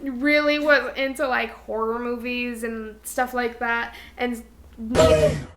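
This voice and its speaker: A woman's voice: on the high side, flat delivery, takes it slowly. A young woman co-hosting a podcast, telling stories to a friend in informal, slangy speech peppered with "like". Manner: informal, slangy, heavy use of "like". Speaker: podcast co-host. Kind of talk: casual chat and storytelling between friends.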